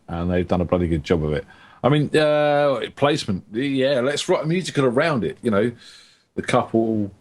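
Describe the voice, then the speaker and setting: A low-pitched man with a croaky voice chats through his review of a song. He is loose and jokey as he riffs on the track. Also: darkish tone. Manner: chatty, jokey. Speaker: panelist. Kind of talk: casual music review on a podcast.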